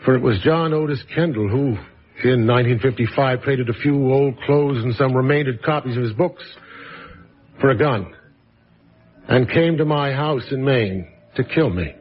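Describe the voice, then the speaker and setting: Actor as a theatrical storytelling writer, muffled radio sound. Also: male voice, mid-range voice, moderate pace, husky, close to an everyday voice. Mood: genial, storytelling. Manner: theatrical, florid raconteur. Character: once-celebrated male writer, genial storyteller, calm and theatrical. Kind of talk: radio drama acting. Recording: dull lo-fi radio recording with music behind.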